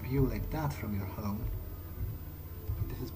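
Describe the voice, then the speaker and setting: A man's voice: medium pitch, slow pace. A man giving casual, in-character commentary as he plays a game, musing in a wry, sweary way. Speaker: let's player. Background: game music.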